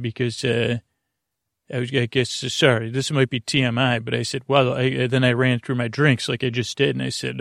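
A man hosting a podcast in a rambling bedtime monologue, halting and meandering as he trails off and circles back, with a creaky voice. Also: mid-range voice, dry recording, studio quality. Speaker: podcast host.

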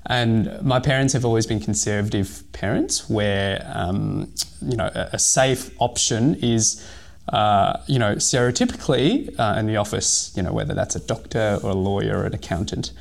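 A man's voice: on the low side, normal speed, vocal fry. A man from Hong Kong in his thirties, a physiotherapist and pilot telling his story in a casual podcast interview, full of ums and restarts. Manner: rambling, many fillers and restarts.

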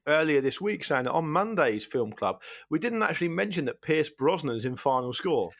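A man's voice: fairly high pitch, very animated, moderate pace, vocal fry. A British man chatting casually as a co-host of a football podcast.

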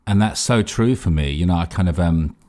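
Male with an extremely low voice for candid, informal conversation; halting delivery, casual swearing. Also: darkish tone.

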